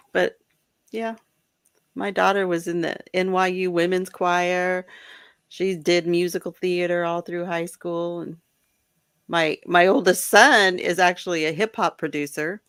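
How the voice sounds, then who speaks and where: A woman's voice: on the low side, steady tone, unhurried, fairly bright tone, smooth, no echo, studio quality. A middle-aged woman who is a guest on a casual chat show, easygoing and laughing often.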